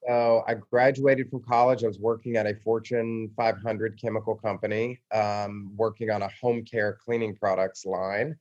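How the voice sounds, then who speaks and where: A man's voice: fairly low pitch, little pitch movement, moderate pace, few pauses, darkish tone, dull audio. An American man speaking as an interview guest, telling personal stories in a reflective, self-deprecating conversational way. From suburban Atlanta, Georgia, United States.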